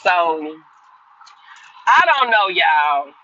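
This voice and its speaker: A woman's voice: lowish voice, sing-song, extremely slow, bright, forceful tone, lo-fi sound. A woman in her fifties hosting a livestream, chatting casually with viewers and answering their comments in a rambling, repetitive way. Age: 50s. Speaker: livestream host.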